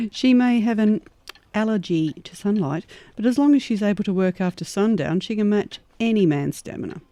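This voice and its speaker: A woman's voice: medium pitch, dark tone, no room sound, close to an everyday voice. A woman playing a bold, fierce werewolf prospecting in the Old West in a horror audio drama, confident and wry.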